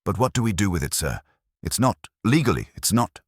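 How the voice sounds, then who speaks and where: A man's voice: lowish voice, sing-song, fairly quick, breathy edge, dry recording, clean studio sound, voice pushed up. A man voicing an uncertain, deferential junior police officer in an audio mystery story, hesitant and questioning. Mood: hesitant, uneasy.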